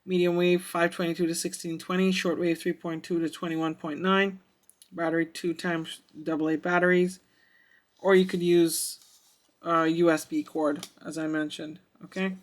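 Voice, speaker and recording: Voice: medium pitch, little pitch movement, normal speed. A reviewer giving a casual product demonstration, thinking aloud in a hesitant way. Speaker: radio reviewer.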